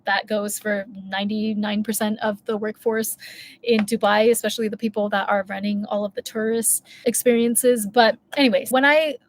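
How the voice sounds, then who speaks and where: A woman's voice: medium pitch, fairly even intonation, moderate pace, a little hiss or hum. A woman, telling a long candid story in a casual vlog, full of asides and self-corrections. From United States. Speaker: vlogger.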